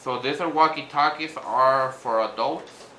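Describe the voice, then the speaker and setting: A man talking casually in an unscripted vlog as he reviews gear, in a rambling, halting way. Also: medium pitch. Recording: clear background noise, vehicle sounds.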